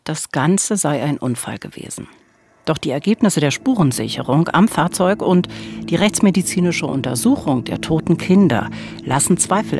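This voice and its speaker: A middle-aged woman narrating a true-crime podcast as co-host at a studio microphone. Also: lowish voice. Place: small radio studio. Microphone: studio microphone, headphones.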